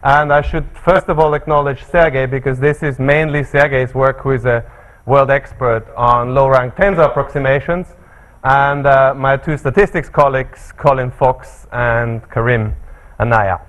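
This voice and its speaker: A middle-aged man with an Austrian accent giving an academic seminar talk in a seminar room, measured and often pausing on fillers. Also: mid-range voice, fairly even intonation, fairly bright tone. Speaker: lecturer.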